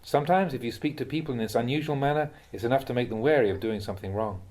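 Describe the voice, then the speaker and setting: A man reads translated Buddhist teachings aloud in a measured, instructive way with clear phrasing. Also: medium pitch, darkish tone. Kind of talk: audiobook-style reading of spiritual talks.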